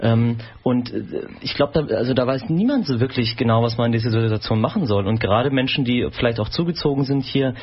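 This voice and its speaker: A man's voice: medium pitch, brisk, hardly any pauses, husky. A man hosting a community radio magazine, talking thoughtfully with some fillers. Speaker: radio host. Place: radio studio. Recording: dull lo-fi radio sound.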